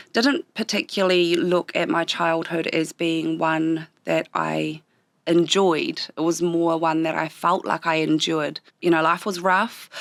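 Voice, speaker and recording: A woman with a New Zealand accent and a slightly low voice, reflecting on her life as an interview guest. Her first language was Japanese. She speaks thoughtfully and stops often to search for words, and the studio recording is dry and close. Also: no room sound. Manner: thoughtful, pausing often with ums.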